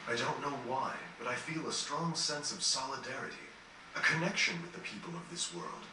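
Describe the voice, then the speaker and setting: A man playing a gentle, melancholy dying composer in a fantasy game, speaking in a reflective, earnest, measured way with formal phrasing. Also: mid-range voice, natural voice. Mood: wondering, reflective. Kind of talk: dramatic game cutscene dialogue. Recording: distant, echoey, noisy game capture.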